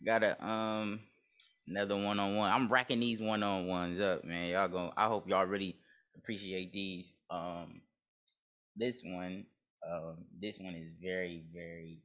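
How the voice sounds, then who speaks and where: A man's voice: low-pitched, steady tone, measured pace, fairly bright tone. A man hosting a podcast, talking casually with loose slang and a playful edge. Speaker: podcast host.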